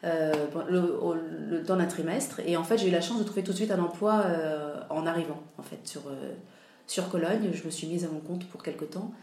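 A woman's voice: sits low, moderate pace, creaky, mellow, slight background noise. A woman from France talking casually as a podcast guest, hesitating often with fillers and restarts. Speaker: interview guest. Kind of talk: casual interview conversation. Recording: roomy echo.

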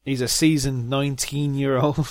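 A man's voice: mid-range voice, flat delivery, unhurried, a little mellow, no room sound, studio quality. A man co-hosting a casual podcast about motor racing, joking and laughing often. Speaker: podcast co-host.

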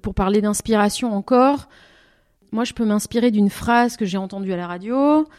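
A French-speaking woman talks about her singing in an interview. Her voice sits at a middling pitch. She speaks reflectively, pausing often and rephrasing as she looks for the right words. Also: a little mellow. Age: over twenty. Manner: thoughtful, often restarts and rephrases. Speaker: singer, interview guest.

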